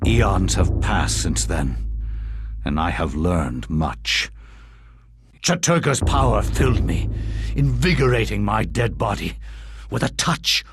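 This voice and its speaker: Deep, gravelly undead villain. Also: male voice, heavily acted. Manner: grave, deliberate, grandiose. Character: ancient undead Roman centurion turned sinister villain, menacing and proud. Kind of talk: theatrical video game villain monologue. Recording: noisy game audio capture.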